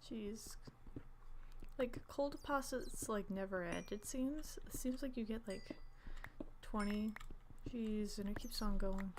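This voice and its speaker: A woman's voice: mid-range voice, slow, long pauses, background noise. A young woman streaming a game, chatting casually and rambling, often dropping into whispered asides. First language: English. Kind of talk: casual gameplay chat.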